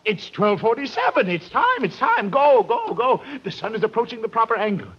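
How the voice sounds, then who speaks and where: A man's voice: sits high, big pitch swings, normal speed, slight background noise. An actor playing an agitated, eccentric male painter with a fussy, theatrical manner in 1940s radio style. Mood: frantic. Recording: dull vintage radio recording.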